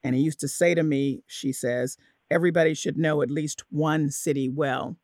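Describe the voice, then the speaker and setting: A woman hosting a radio interview, with a creaky edge to her voice. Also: low-pitched, fairly even intonation, normal speed, a little mellow, dry recording, clean studio sound. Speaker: radio host.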